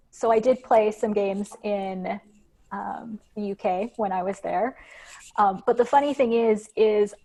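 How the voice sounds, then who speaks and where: Woman explaining her research, thoughtful and measured. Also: medium pitch, a little mellow, poor audio. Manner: thoughtful, explanatory, with frequent "um"s and "you know"s. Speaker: guest researcher. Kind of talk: conversational interview answers.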